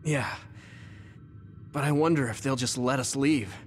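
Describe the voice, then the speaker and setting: A man voicing an earnest young soldier hero in an anime-style game cutscene, quick to worry. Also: medium pitch, natural voice. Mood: earnest, puzzled. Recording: music behind the voice.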